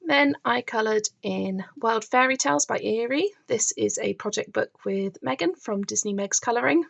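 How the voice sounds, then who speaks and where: A woman's voice: a little high, expressive, moderate pace, gravelly. A woman hosting a colouring channel, chatting enthusiastically through her finished pages while filming the books from above at a table, her voice starting to crack. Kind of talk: casual show-and-tell narration.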